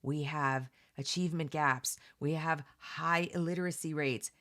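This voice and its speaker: Woman w a low voice doing a read-along, chatty and emphatic, talks right to the listener, gives her opinions. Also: steady tone, unhurried, fairly bright tone. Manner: conversational, emphatic, often addressing listeners directly. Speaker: host of a read-along commentary channel. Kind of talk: reading a book aloud with casual, opinionated commentary.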